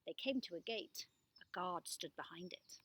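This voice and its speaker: A woman reading a children's storybook aloud as a storyteller, with expressive, dramatic delivery. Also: fairly low pitch, moderate pace, husky.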